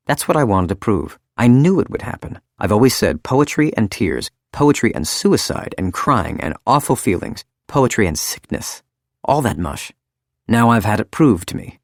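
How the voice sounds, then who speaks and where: A shrill, fussy middle-aged housewife, as a male narrator puts her on, scolding in a raised voice. The read is close and dry, with a deep underlying voice. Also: sing-song, moderate pace, dry recording. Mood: indignant.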